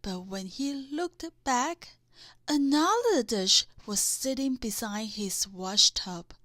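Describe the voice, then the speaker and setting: A woman reading a children's bedtime story aloud in a deliberate way, with dramatic emphasis. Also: fairly high pitch, expressive, a bit bright, dry recording, studio quality. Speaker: storybook reader.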